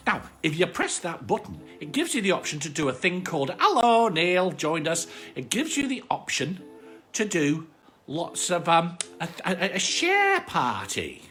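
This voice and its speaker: A man's voice: fairly high pitch, a bit bright. Man voicing a swooping, chatty British armadillo puppet. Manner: cosy, chatty, repeats phrases. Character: friendly chatty armadillo puppet, long-suffering bedtime storyteller. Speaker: host of children's live story show. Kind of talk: casual children's livestream chat.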